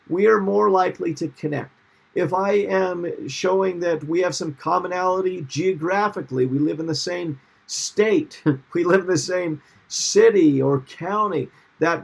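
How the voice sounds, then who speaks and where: A man's voice: high voice, gravelly, husky. A middle-aged man teaching a recorded seminar over slides, upbeat and often checking in with "okay?". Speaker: marketing instructor.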